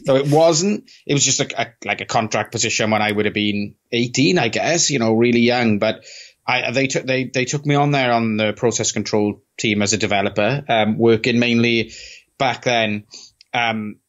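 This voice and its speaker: A man's voice: medium pitch, moderate pace, highs cut off. A middle-aged man with a thick South Welsh accent, chatting casually as a podcast guest over a video call, self-deprecating and full of restarts. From South Wales. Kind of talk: casual interview chat. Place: small home office. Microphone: headset with studio mic on video call.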